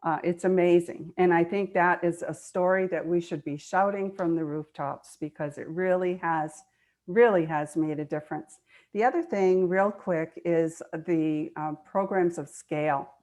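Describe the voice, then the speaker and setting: A woman's voice: lowish voice, fairly even intonation, unhurried, a little mellow. An older woman speaking conversationally as a guest in an online meeting, explaining things earnestly and repeating words for emphasis. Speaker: guest speaker, nonprofit foundation trustee.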